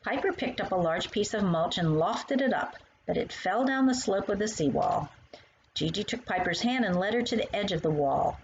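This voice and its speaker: A woman narrating a children's story as its author, in an expressive style that acts out the dialogue. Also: mid-range voice, normal speed, dull audio, slight background noise.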